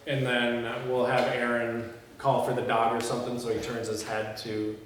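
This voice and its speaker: A man reads stage directions aloud and breaks off into casual discussion. His voice sits at a middle pitch and comes from some distance in a very echoey room. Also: completely flat delivery, normal speed. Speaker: filmmaker reading stage directions at a table read. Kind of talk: script read-aloud mixed with casual discussion. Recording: echoey room, distant mic, faint noise.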